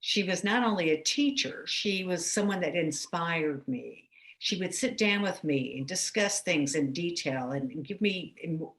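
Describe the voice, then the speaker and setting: A woman gives voice to a panelist's signed answers, speaking at a measured, steady pace. Her voice sits a little low for a woman. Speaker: sign language interpreter voicing a panelist.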